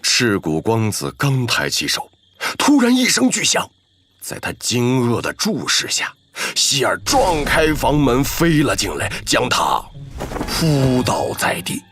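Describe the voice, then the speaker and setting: Slow, highly animated male narrator. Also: a little hiss or hum. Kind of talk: scripted dramatic narration.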